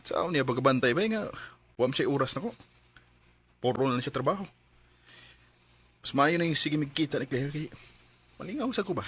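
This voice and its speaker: A man's voice: a little high, lively intonation, moderate pace, pauses often, a bit bright, breathy edge. A man acting a guilty, cheating husband in a Bisaya radio drama, emotional and pleading, with a Cebuano accent. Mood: evasive, casual. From Philippines.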